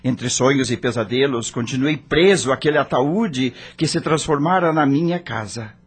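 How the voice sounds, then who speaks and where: A man's voice: mid-range voice, normal speed, slightly rough, close to an everyday voice. An actor playing an anguished dead male rock star, narrating his own story in a theatrical, brooding radio drama style.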